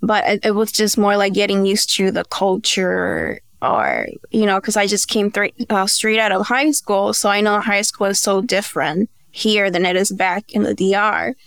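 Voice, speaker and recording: A woman's voice: medium pitch, expressive, moderate pace, gravelly, few pauses, a bit bright, smooth, no room sound. A woman from the Dominican Republic, a native Spanish speaker, chatting casually as an interview guest. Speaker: podcast guest, beauty brand founder.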